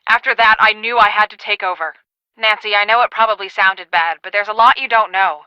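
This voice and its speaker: A woman playing an earnest, take-charge storm-chasing project manager in a video game, speaking in a brisk, businesslike way. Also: medium pitch, bright, forceful tone, clean tone, dry recording, dull audio, natural voice. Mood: regretful.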